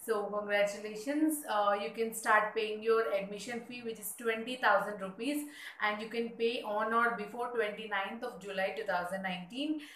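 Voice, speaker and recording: A woman's voice: mid-range voice, fairly even intonation, normal speed, a bit bright, a little hiss or hum. A young adult woman hosting an education vlog, explaining steps steadily with frequent "uh" fillers. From India. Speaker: education channel host. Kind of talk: informational vlog. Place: small indoor room. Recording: echoey room with faint ambience.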